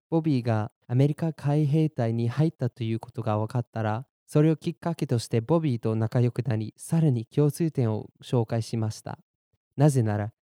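Podcast language teacher, young man, deep mellow tone, measured and clear, dry studio sound. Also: no echo. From born in Germany, raised in Boston. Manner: clear, measured explanations, slows down for repeat drills. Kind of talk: bilingual lesson narration, Japanese and English.

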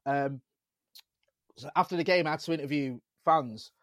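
A man with a Manchester accent chatting on a football podcast and telling lively stories about his days as a local radio reporter. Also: fairly high pitch, normal speed, no echo, studio quality. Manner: animated storyteller, jumps into mimicry of fans. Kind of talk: casual football podcast chat.

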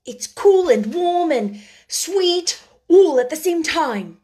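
A woman's voice: very high-pitched, expressive, slow pace, darkish tone, pitched above the performer's own voice, exaggerated voice. A woman voicing an eager young boy who chuckles and speaks with joyous wonder, slipping into Yorkshire.

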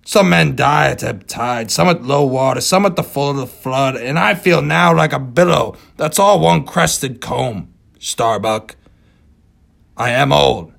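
A man's voice: a little slow, gravelly, exaggerated voice. A man voicing an old, obsessed whaling captain who declaims and barks orders. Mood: solemn. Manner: booming, declaiming, shouted orders.

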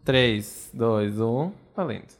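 A Brazilian man with a Brazilian accent, running a comic mock job interview in a brisk, teasing way. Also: medium pitch. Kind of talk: improv comedy game.

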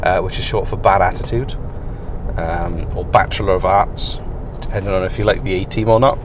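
A man with a croaky morning voice hosting a rambling solo podcast from his car on the way to work, with dry self-mocking asides. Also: sits low, fairly even intonation, a little fast. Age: adult, past university. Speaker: podcast host. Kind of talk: casual solo podcast while driving. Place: inside a car on a commute. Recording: in-car recording with road noise. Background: car and road noise.

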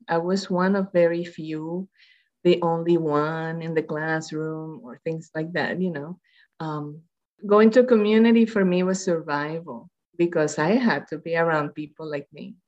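Rambling Puerto Rican-born woman, low voice. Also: muffled recording. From Puerto Rico. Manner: rambling, with many fillers and restarts. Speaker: guest, public health researcher. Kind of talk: conversational interview.

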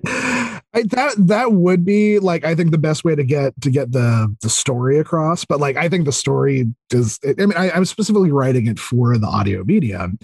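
Slightly husky man, halting and chatty. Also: a little high, lively intonation, a little mellow, dry recording. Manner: halting, rambling, repeats words as he thinks aloud. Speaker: podcast guest, audio drama writer. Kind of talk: casual chat.

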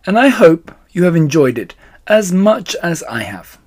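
Guy doing a confident fox leader in a kids story, raised lively voice, talks the crowd round. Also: high-pitched, unhurried, voice pushed up, exaggerated voice. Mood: proud, serious. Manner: persuasive speechmaking. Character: confident, charismatic male fox, leader of the animals.

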